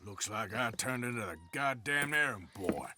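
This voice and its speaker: An actor playing a gruff, loyal outlaw gunslinger with an American accent, terse and grumbling, in a cinematic video game. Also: male voice, mid-range voice, expressive, moderate pace, pushed and bright. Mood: reluctant, grumbling.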